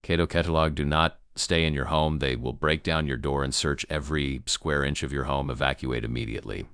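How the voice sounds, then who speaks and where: A man playing a grave emergency news broadcaster in an amateur apocalypse broadcast, gravelly, solemn and halting in his delivery. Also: extremely low pitch, steady tone, fairly bright tone, no echo, clean studio sound, heavily acted. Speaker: news anchor.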